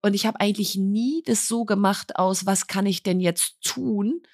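A woman in her forties co-hosting a podcast, telling personal stories in a calm, reflective way. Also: medium pitch, normal speed, no echo, clean studio sound. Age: 40s. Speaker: podcast co-host. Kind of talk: casual chat.

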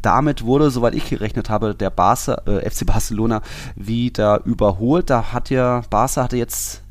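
A man's voice: mid-range voice, normal speed, no room sound. A man hosting a football podcast, explaining things in an informal, conversational way. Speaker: podcast host. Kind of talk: casual football podcast talk.

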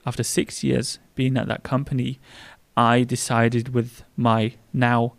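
A man's voice: mid-range voice, level tone, measured pace, vocal fry, dry recording. A man in his thirties with a German accent, chatting casually as a podcast co-host with a lot of fillers. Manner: chatty, rambling with frequent fillers.

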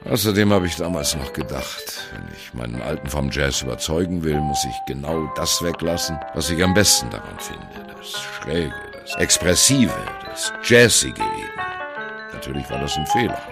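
A man playing a wry, embittered old German jazz drummer telling his life story in a radio play, with a gravelly, husky voice and a sardonic, conversational manner. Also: rumbling low, sing-song, moderate pace, over music, heavily acted. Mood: wry, defiant. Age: older. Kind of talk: dramatic first-person radio play monologue.

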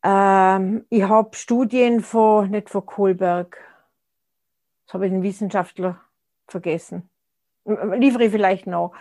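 A woman's voice: medium pitch, steady tone, slow pace, dark tone. A woman, speaking as an interview guest in a reflective, conversational way and often restarting her sentences as she searches for words. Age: 60s.

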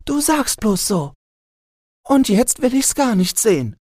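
A man's voice: very high-pitched, sing-song, a little slow, darkish tone, no room sound, clean studio sound, voice pushed up, exaggerated voice. A man voicing a headstrong, indignant little girl with an emphatic, determined manner in a storybook reading. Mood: bitterly indignant.

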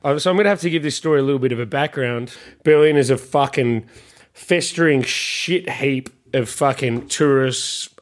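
A man co-hosting a casual podcast, bantering quickly with plenty of swearing and little rants. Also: mid-range voice. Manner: fast, swearing, ranting. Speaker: podcast co-host.

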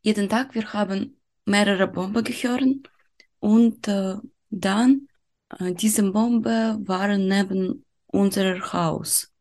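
A young woman with a Ukrainian accent speaks slowly in German, her second language. She hesitates often and fills gaps with soft "äh" sounds. Her pitch sits in a middle range. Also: steady tone. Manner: slow and halting, searching for words with many "äh" pauses. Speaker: guest. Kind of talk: interview in a learned language.

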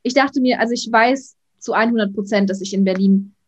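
A young woman, an influencer, chatting casually in an interview, outgoing and talking in quick bursts with many fillers. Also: a little high, darkish tone, lo-fi sound. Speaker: influencer guest.

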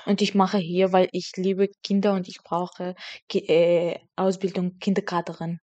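A young woman whose first language is Kurdish, an intern training as a kindergarten teacher. She chats in a halting way with broken grammar. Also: fairly low pitch, flat delivery, darkish tone, muffled recording. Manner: halting non-native speech with broken grammar. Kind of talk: casual interview chat.

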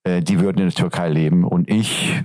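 Interview guest: man from Turkey, very low voice, dry close sound, hesitant with fillers. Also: fairly even intonation, a little fast, a little mellow, no room sound. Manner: hesitant, many fillers and restarts. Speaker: guest, teacher. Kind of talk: casual interview answers.

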